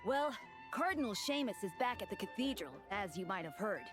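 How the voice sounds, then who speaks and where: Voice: medium pitch, lively intonation, fairly quick, over music, lightly acted. An actor playing a polite, breezy young male church deacon in a video game.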